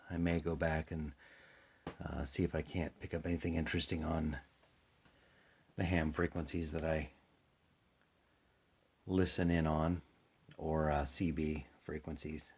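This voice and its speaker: A slightly muffled home recording of an American man with a very deep voice. He sounds a bit sleepy and husky, talking in a casual, unhurried way as he thinks aloud through a demonstration. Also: fairly even intonation, a little mellow. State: a little sleepy. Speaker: hobbyist demonstrating a shortwave radio. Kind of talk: casual hands-on demonstration chat. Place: indoors at a tabletop, close to the camera.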